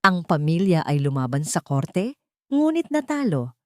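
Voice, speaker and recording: A woman with a low-pitched voice narrates a dramatic audio story. Her delivery is solemn and full of suspense. The sound is very dry, with hardly any room on it. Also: very animated, unhurried, a little mellow, no room sound.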